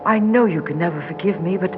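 An American actress playing an earnest, guilt-ridden woman with an anxious, halting, pleading manner in 1960s radio drama. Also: on the low side, natural voice. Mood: halting, apologetic. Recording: dull 1960s radio recording, music behind.

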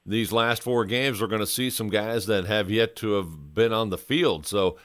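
Male sports host, lowish voice, dry close sound, conversational interviewer. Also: normal speed, a bit bright, dry recording.

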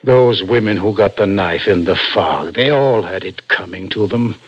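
An actor playing a crafty police interrogator in a 1960s radio drama, coaxing and insinuating with deliberate, halting questions. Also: male voice, on the low side, breathy edge, no echo. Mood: confiding, coaxing. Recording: dull vintage radio broadcast recording.

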